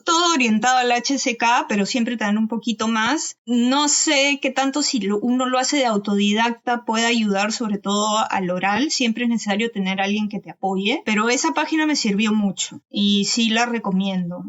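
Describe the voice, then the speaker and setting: Female podcast panelist, fairly high voice, conversational delivery, somewhat muffled recording. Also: moderate pace, hardly any pauses. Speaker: podcast guest sharing experience of learning Chinese. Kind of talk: casual panel discussion.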